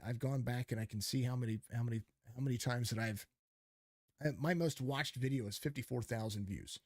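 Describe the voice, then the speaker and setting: A man's voice: medium pitch, a little mellow, dry recording. A man hosting a casual podcast who talks about calling races. He rambles emphatically, with many restarts and fillers, into a close microphone. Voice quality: calls his voice fit for radio. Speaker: podcast host and race commentator.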